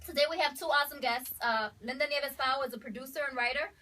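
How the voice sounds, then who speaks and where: High bright young woman hosting a call-in show, chatty, laughy, bit off mic. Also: fast talker, recorded from a distance. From Dominican American, New York. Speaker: talk show host. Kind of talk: casual live call-in talk show.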